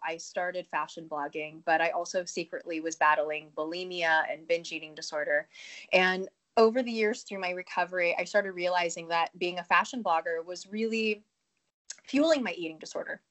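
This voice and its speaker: A woman in her thirties with a cold, speaking as a podcast guest in an earnest, talkative way, with frequent fillers and quick self-conscious laughs. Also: lowish voice, fairly bright tone. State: has a cold, a bit sniffly. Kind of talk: conversational interview answer.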